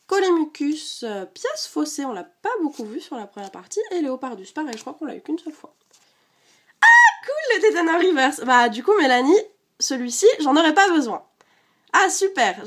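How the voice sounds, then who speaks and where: Casual vlogger, woman, slightly high, congested from a cold, easygoing chatter. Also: fairly bright tone. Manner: chatty, many fillers, rattles off card names. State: stuffy from a cold. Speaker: card collecting vlogger. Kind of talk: casual unboxing chat.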